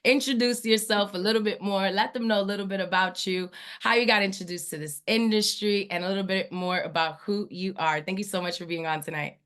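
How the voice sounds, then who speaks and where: A woman's voice: mid-range voice, fairly even intonation, a little fast, few pauses, fairly bright tone, smooth. A woman hosting an online training call, talking with enthusiasm and building hype in an emphatic way.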